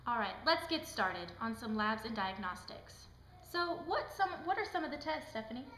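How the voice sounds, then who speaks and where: A woman's voice: a little high, sing-song, moderate pace, sharp tone. A woman playing a cheerful, polite talk show host in an amateur scripted puppet skit. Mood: interested. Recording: echoey room, faint background noise.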